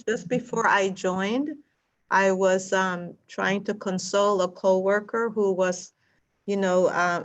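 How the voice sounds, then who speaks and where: A woman earnestly sharing a story in an online group discussion, her voice often dropping quiet. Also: mid-range voice. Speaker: study group participant. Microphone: video call. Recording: dull video call audio.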